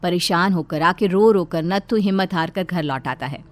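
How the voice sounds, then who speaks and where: Steady woman narrating a cartoon tale. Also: fairly low pitch, moderate pace.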